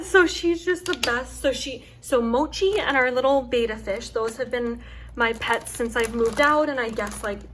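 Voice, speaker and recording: A young woman with a soft, calming voice chatting casually and rambling with lots of fillers as she hosts a craft video at home. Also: on the high side, a little hiss or hum. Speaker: craft channel host. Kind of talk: casual chat while chopping wax. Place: home with her dog nearby.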